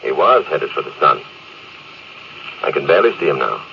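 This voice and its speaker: A steady, resigned spaceship officer, talks in a low, measured voice. He has an earnest authority in the manner of 1950s radio drama, over a dull, slightly noisy vintage broadcast. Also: gravelly, heavily acted.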